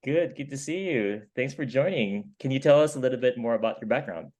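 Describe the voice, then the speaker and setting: A man hosting an interview, friendly and upbeat, with many fillers and quick backchannels. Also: mid-range voice, animated, darkish tone. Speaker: interview host.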